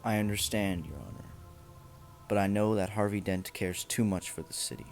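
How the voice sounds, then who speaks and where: A man acting a calm, suave male vigilante in an amateur radio play. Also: fairly low pitch, moderate pace, soft background music, natural voice. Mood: earnest, measured.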